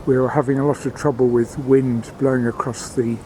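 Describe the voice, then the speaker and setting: A man's voice: level tone, a little fast, dark tone. A man giving unscripted, matter-of-fact technical commentary as an amateur experimenter demonstrates equipment. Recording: noisy location sound, vehicle-like background rumble.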